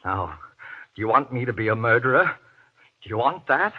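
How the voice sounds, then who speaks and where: An actor playing a desperate, guilt-ridden husband in a 1940s radio horror play, pleading in halting, anguished, melodramatic bursts. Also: male voice, medium pitch, expressive, natural voice. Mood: frantic pleading. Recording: muffled vintage radio recording.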